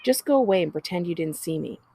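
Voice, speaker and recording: A woman's voice: on the low side, expressive, fast talker, mellow, breathy edge. A woman reading a storybook as a defiant, anxious younger sister of about eleven, earnest and indignant. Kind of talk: children's storybook character reading.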